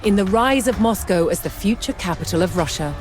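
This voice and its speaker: A woman reading scripted documentary narration in a measured, dramatic storytelling manner. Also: medium pitch, animated. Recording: music under the voice. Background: music.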